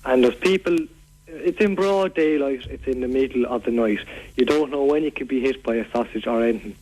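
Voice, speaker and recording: A man's voice: mid-range voice, a little fast, darkish tone, close to an everyday voice. A man playing an aggrieved, rambling Irish radio caller. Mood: indignant. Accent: Irish, County Waterford. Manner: rambling, repetitive, earnest complaining. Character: aggrieved, rambling local man fed up with food-throwing youths. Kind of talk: prank call to a local radio show. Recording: phone call on local radio.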